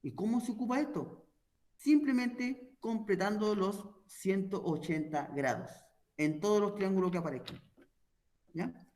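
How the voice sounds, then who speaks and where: Guy teaching math online, pretty high voice, explains step by step and keeps checking students get it. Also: measured pace, darkish tone.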